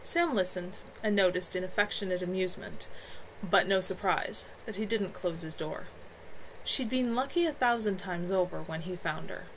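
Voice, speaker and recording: A woman reading her own novel aloud as an author on a home livestream, in an expressive amateur narration style. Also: fairly low pitch, normal speed, a little hiss or hum, low-quality recording. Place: at home, in a room with a bed.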